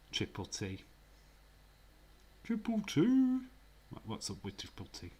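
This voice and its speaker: A man's voice: on the low side, husky. A man with a Yorkshire accent hosting a live stream, chatting casually and teasing his chat, laughing often and sometimes dropping to a near whisper. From Yorkshire, England.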